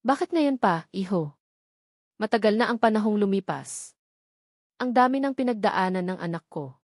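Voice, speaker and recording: A woman's voice: medium pitch, animated, normal speed, dry recording, studio quality. A woman voicing a worried, sorrowful older mother in an audio romance drama, anguished and reproachful. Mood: reproachful, sad.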